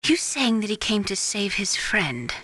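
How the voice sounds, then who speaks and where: A woman's voice: medium pitch, big pitch swings, measured pace, a bit bright, slightly rough, dry recording, exaggerated voice. A woman playing a poised, clinical female scientist advising a soldier in a video game, speaking in a precise, explanatory way. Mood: concerned. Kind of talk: video game radio briefing acting.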